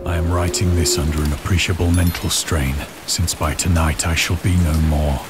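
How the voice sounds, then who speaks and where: A deep-voiced, haunted castaway, played by a male actor, narrates a horror game. He is grave, formal and brooding, telling his ordeal in ornate literary phrases. Music plays loudly behind him. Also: level tone, normal speed, natural voice. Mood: grave, doomed. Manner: solemn, formal, ornate delivery.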